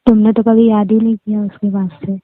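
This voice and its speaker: A woman's voice: medium pitch, level tone, brisk, no echo. A woman chatting casually and privately as a caller on a phone call. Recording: dry, narrow tinny phone line.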